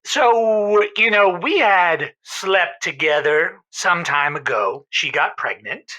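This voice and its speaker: A man plays a deluded boyfriend phoning a comedy advice show. His voice is very sharp and bright, and he sounds earnest yet defensive. He justifies himself emphatically. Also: sits high, lively intonation, takes it slowly, heavily acted, poor audio. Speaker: caller. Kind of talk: improvised comedy call-in.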